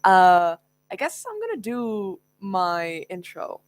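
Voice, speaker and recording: A woman's voice: medium pitch, huge pitch swings, takes it slowly, dry recording. A young woman whose first language is Spanish, chatting casually and laughing often as she answers viewer questions. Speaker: book youtuber. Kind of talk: casual Q&A livestream chat.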